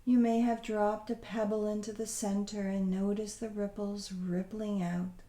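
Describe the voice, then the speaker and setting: Woman doing a guided meditation, soothing and really slow, roomy echo on the voice. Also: medium pitch, little pitch movement. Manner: soothing, unhurried, gently instructing. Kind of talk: guided visualization meditation narration.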